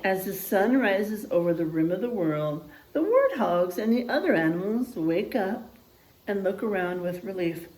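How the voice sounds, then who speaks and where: A woman reading a picture book aloud in an expressive, child-directed way, with asides and questions to young listeners. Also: medium pitch, normal speed, roomy sound, slight background noise, poor audio.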